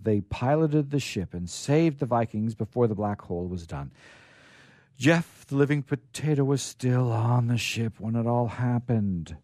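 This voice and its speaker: A man hosting a radio show, reading stories aloud in an informal, halting but theatrical way. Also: medium pitch, expressive, warm tone, dry recording, clean studio sound. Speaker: radio show host and storyteller.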